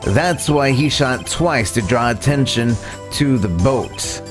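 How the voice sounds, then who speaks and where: A man voicing a young defense lawyer in a courtroom video game, earnest and nervous but determined, explaining in halting, formal speech. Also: medium pitch, animated. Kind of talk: live voiced playthrough of a courtroom video game. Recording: game music under the voice.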